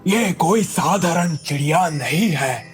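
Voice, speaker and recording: A man's voice: a little high, lively intonation, slow, faint music behind. A man playing a kindly, serene old wandering sage in a cartoon moral story, solemn and full of blessings. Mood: grave, mysterious.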